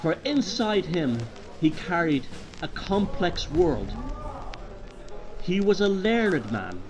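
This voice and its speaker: A man with a Dublin Irish accent narrating a horror tale in a deliberate, theatrical pub-yarn manner. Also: a little high, darkish tone, lo-fi sound. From Ireland. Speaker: storyteller. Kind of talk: dramatic horror story narration.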